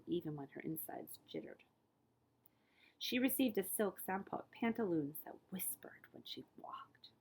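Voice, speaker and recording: A woman reads a children's story aloud in a slightly low, husky voice with plenty of fry. Her narration is expressive, stressing key words and letting phrase endings sink into a hush. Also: poor audio. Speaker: librarian reading aloud.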